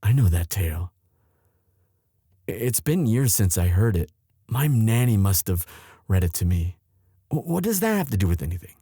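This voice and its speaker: A man playing an anxious, protective young prince in love, speaking earnestly and haltingly in a romantic roleplay audio drama. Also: on the low side, very animated, warm tone, breathy edge, no room sound, studio quality. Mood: conflicted, earnest. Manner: earnest, halting, tender delivery.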